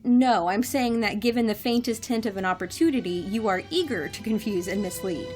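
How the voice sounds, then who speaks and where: A woman's voice: fairly high pitch, a little fast, a little mellow, close to an everyday voice. Woman playing dry, sardonic young woman, faint music. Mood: accusing. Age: young adult. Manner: dry, articulate, sarcastic. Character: guarded, sardonic grad-student daughter, hardened war veteran. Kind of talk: audio drama acting.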